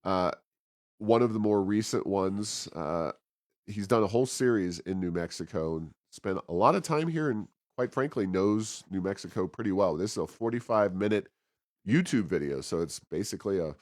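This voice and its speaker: A man with a deep voice co-hosts a podcast in a very dry-sounding recording. He moves through commentary and announcements casually, in a conversational, somewhat halting way. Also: lively intonation, a little mellow, dry recording. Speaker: podcast co-host.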